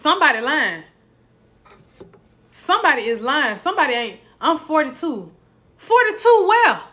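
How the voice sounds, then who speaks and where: A woman's voice: high voice, moderate pace. A woman, hosting a casual live stream, reading out viewer comments and hyping up her chat. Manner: reads out viewer comments and hypes the chat, animated and playful.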